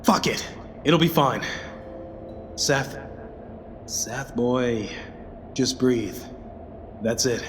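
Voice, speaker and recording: A man's voice: medium pitch, drawn-out words, pauses often, background noise. Actor as an anxious, bitter spaceman in cavernous reverb. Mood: bitter muttering. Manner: muttering to himself, swearing, sighing. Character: anxious, bitter, foul-mouthed male spacecraft crew member. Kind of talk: audio drama acting. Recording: long hall-like reverb under loud music.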